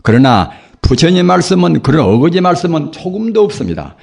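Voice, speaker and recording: An older man, a Buddhist monk, giving a sermon in a hoarse voice from a cold, preaching slowly and earnestly with rhetorical questions. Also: on the high side, sing-song, a little mellow. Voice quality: gravelly, husky. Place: temple dharma hall.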